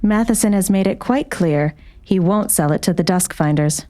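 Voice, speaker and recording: A woman playing a cool, composed doctor in an audiobook drama, speaking smoothly in a formal, measured, controlled way. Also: on the low side, mellow, lightly acted. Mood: measured, guarded. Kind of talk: audiobook character acting.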